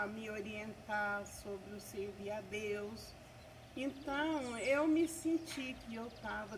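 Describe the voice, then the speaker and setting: A woman's voice: a little high, far from the mic, background noise. A woman in her seventies giving an earnest church testimony, often adding "né?" and praising God, recorded on a country property. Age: 70s.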